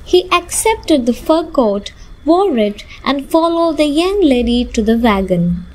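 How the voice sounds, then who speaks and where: A woman's voice: sits high, big pitch swings, a little slow, darkish tone, a little hiss or hum, low-quality recording. A young woman, a student narrator, reading scripted story narration for a radio drama. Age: young adult.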